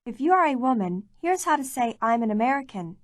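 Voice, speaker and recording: Clear, measured woman narrating a language course. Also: on the high side, big pitch swings, dry recording, clean studio sound. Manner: clear, measured, prompting the learner. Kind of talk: scripted instructional narration.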